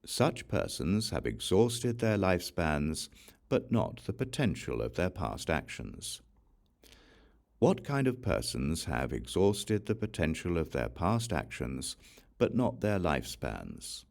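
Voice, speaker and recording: Deep-voiced man reading scripture, gravelly edge. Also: very animated, darkish tone. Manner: measured, deliberate, formal. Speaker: audiobook reader.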